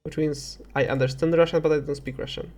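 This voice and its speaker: A man's voice: on the high side, steady tone, fast, a little mellow. A young man with a Polish accent speaking as a livestreamer, chatting casually with viewers in a rambling, hesitant way and often correcting himself. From Poland. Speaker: video game livestreamer. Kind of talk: casual chat with viewers while streaming.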